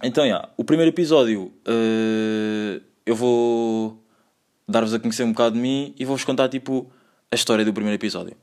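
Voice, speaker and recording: A man's voice: medium pitch, a little fast, a little mellow. A man in his twenties with a Lisbon Portuguese accent, hosting his own podcast in a loose, rambling way full of slang and filler words. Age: 20s. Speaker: podcast host. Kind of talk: solo casual podcast monologue.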